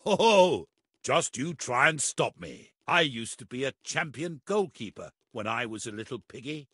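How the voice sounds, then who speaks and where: A man's voice: medium pitch, sing-song, normal speed, a bit bright, breathy edge, no room sound. A man voicing a jolly, boastful pig father in a children's cartoon, with a hearty manner. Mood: playfully boastful.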